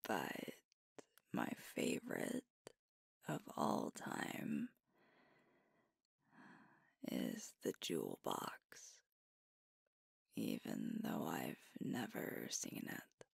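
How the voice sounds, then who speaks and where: A tender werewolf girlfriend, acted by a woman, shares nostalgic stories in a breathy whisper for an ASMR roleplay. The delivery is slow and intimate, crackling with fry, and it sounds close and dry. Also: extremely low pitch, huge pitch swings, no room sound, exaggerated voice. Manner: gentle, unhurried, intimate storytelling.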